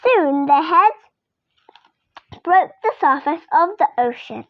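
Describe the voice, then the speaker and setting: A young girl reading a children's story aloud in an expressive, deliberate storytelling manner. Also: sits high, no room sound, dull audio.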